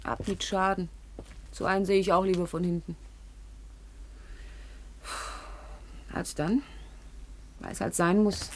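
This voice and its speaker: Radio drama role, actress: plain-spoken poor young woman, naive yet plucky, slightly low voice, light background noise. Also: steady tone, lightly acted. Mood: cheeky, unafraid. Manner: colloquial, halting, earnest. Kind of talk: naturalistic radio drama dialogue.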